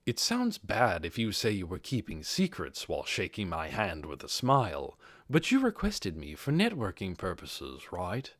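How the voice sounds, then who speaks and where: Man doing a low, reserved armored adventurer. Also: wildly swooping pitch, normal speed, hardly any pauses, fairly bright tone, no echo, clean studio sound, full character voice. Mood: puzzled, reassuring. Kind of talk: audiobook character voice.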